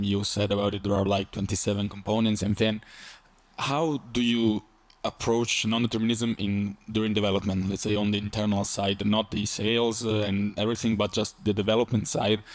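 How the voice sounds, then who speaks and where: A man's voice: on the low side, no room sound. A man in the audience asking a technical question in conversational speech.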